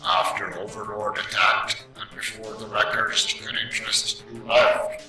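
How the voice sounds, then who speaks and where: Man voicing cold robot jailer, stern, menacing, very sharp tone, deliberate, echoey, comic dub. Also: on the low side, monotone. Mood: cold menace. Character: stern, menacing male robot prison warden. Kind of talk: amateur comic dub acting. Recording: echoey, distant, faint music behind.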